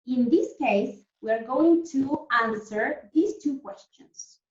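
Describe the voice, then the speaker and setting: A woman's voice: high-pitched, takes it slowly. A woman primary school teacher leading an online lesson for children, explaining things simply and often checking that they follow. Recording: echoey room, distant muffled mic.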